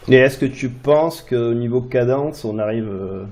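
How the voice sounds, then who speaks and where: Mellow Frenchman in casual podcast chat. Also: mid-range voice, a little slow. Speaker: podcast co-host. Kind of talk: casual chat, asking questions.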